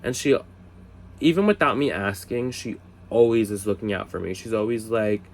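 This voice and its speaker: Young man in his twenties, low voice, casual storytime, lots of "like" fillers, faint room hum. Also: moderate pace. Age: 20s. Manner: chatty, swearing, lots of "like" fillers; mimics others in high voices. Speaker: storyteller vlogger.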